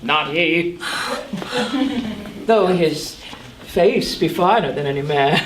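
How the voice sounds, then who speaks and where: A woman playing a grumbling, teasing old nurse. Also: medium pitch. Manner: moaning, giggling, teasing, dragging out words. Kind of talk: stage acting of verse drama. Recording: echoey room with noise behind.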